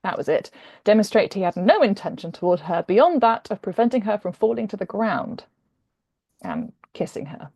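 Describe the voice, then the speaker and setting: A British woman speaking as a guest author, conversational and expressive as she introduces and reads fiction. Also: mid-range voice, normal speed, slightly rough, no echo. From Britain.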